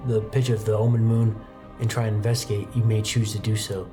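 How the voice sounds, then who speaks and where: A man's voice: lowish voice, flat delivery, fairly quick, music in the background. A man working as game master, narrating a tabletop roleplaying game.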